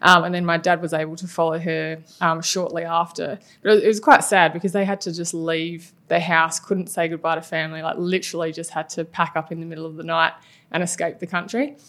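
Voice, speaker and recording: A woman's voice: fairly low pitch, little pitch movement, a little fast, fairly bright tone. A woman who grew up in England, a guest on a podcast, telling her story casually with many fillers and hedges. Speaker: guest, head of people and culture. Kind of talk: podcast interview.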